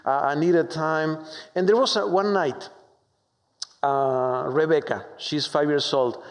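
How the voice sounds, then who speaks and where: A man from Argentina with a strong Argentine Spanish accent, preaching a sermon with warm, humorous, halting storytelling full of fillers. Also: fairly high pitch. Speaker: pastor. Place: church sanctuary. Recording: long hall reverb.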